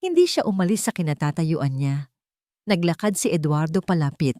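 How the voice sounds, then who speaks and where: Low-pitched woman narrating drama, dry sound. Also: very animated, moderate pace, dark tone, dry recording. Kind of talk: dramatic scripted story narration.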